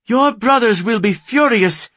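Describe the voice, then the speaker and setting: A man's voice: very high-pitched, lively intonation, measured pace, fairly bright tone, no echo, pitched above the performer's own voice, heavily acted. A man voicing a stern, protective, defiant woman warrior in an audiobook, speaking in a firm, challenging way. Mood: alarmed, defiant. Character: stern protective female guard with a sword, defiant.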